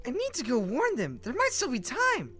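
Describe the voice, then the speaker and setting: A woman's voice: sits high, wildly swooping pitch, normal speed, fairly bright tone, full character voice. A woman playing an urgent, exasperated fantasy heroine in an audio drama. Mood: alarmed, urgent. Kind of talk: scripted audio drama dialogue.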